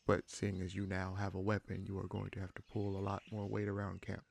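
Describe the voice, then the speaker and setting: A man playing an easygoing, protective bandit leader in a fantasy roleplay audio drama, speaking in a halting, conversational way with a gravelly voice. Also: low-pitched, monotone, a little hiss or hum. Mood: sincere, grateful. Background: birdsong, forest ambience.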